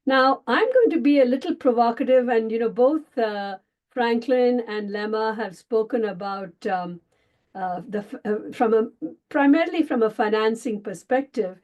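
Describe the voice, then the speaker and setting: A woman's voice: on the high side, normal speed, highs cut off. A woman giving fluent, gracious academic panel remarks over a video call.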